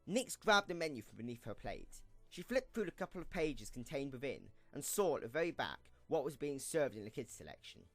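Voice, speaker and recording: A man's voice: medium pitch, big pitch swings, brisk, hardly any pauses, no room sound. A man reading scripted storybook narration as the narrator of an audio drama. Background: music.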